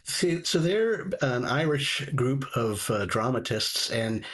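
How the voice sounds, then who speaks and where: A man's voice: mid-range voice, lively intonation, slow pace, a bit bright. A man chatting casually as a guest, in a hesitant, stop-start way. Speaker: guest contributor. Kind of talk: casual chat.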